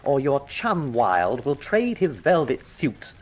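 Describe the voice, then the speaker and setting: Here is an arrogant British colonel in a radio mystery, a blackmailer with open contempt. He speaks slowly at a middling pitch, with wide swings of intonation. Every phrase is brusque and threatening. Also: wildly swooping pitch, exaggerated voice. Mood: menacing. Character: arrogant, menacing blackmailing army colonel, male. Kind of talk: radio drama acting.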